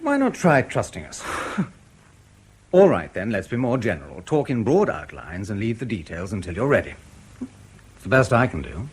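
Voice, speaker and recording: An actor in a radio drama playing a cool, sceptical intelligence officer, clipped, dry and politely probing. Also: male voice, lowish voice, wildly swooping pitch, normal speed, long pauses, breathy edge, exaggerated voice.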